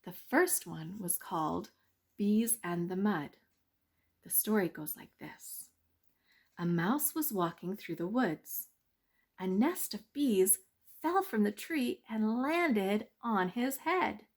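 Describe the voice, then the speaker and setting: Slow, animated woman reading a bedtime story. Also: mid-range voice. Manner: measured, simple, expressive read-aloud delivery. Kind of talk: bedtime storybook narration for children.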